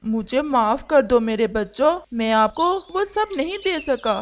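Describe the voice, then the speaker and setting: A woman voicing a gentle, devoted father pigeon in a cartoon, speaking in an earnest, polite, pleading manner. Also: on the high side, animated, a little slow. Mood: sorrowful.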